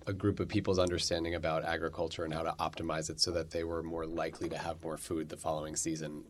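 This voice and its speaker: A man leads a panel and asks long, searching questions in a very deep voice. There is faint noise behind him. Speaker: panel moderator.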